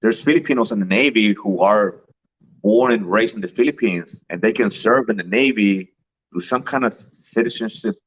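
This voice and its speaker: A man's voice: on the low side, moderate pace, slightly rough, lo-fi sound. A man who grew up in Mexico, chatting casually and telling stories, often repeating words and laughing. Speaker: guest. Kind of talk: casual chat.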